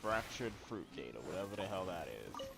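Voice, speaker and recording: A man's voice: deep voice, sing-song, brisk, gravelly, noisy background. A man casually commentating on his live game stream, slangy and muttering to himself. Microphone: streamer's home setup with headphones.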